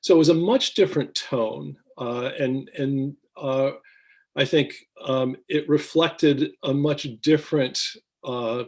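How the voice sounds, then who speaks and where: Husky American man, halting analyst. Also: medium pitch, a little mellow. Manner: halting, deliberate phrasing with frequent ums and pauses. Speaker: foreign policy analyst, interviewee. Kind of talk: measured expert commentary in an interview.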